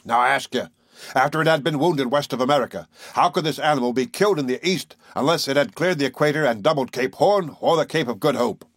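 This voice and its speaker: A man voicing a blunt, boisterous male harpooner who talks loud and boastful. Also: medium pitch, fast, breathy edge, no room sound, clean studio sound, pitched above the performer's own voice.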